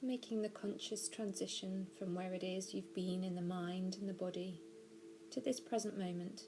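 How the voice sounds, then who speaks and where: A woman narrating a guided body scan meditation, giving gentle, measured instructions slowly with pauses. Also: mid-range voice, steady tone, rough recording. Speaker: mindfulness practitioner guiding a meditation.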